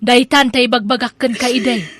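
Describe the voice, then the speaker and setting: A woman's voice: fairly high pitch, fairly even intonation, moderate pace, fairly bright tone, dry recording. A woman playing a nosy, scolding village gossip in an Ilocano radio drama, exclamatory and prying. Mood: scolding concern. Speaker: actor in a radio comedy drama.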